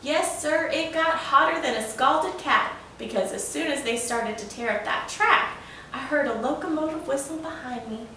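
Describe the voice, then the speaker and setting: A woman's voice: high-pitched, moderate pace, sharp tone. A woman voicing a proud old male steam locomotive spinning a folksy, boastful yarn for a children's storybook. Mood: folksy, excited. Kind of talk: children's storybook read-aloud. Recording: roomy, echoing, distant mic with faint noise.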